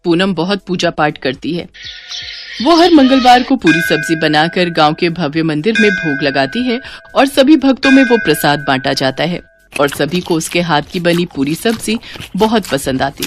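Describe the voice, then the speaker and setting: A woman's voice: on the low side, expressive, moderate pace, music in the background. A woman reading scripted narration for a moral story.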